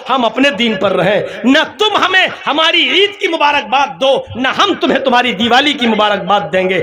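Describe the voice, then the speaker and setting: High-pitched, impassioned male preacher. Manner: impassioned, rising to shouts; recites Arabic verses. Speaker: Islamic preacher. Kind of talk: sermon.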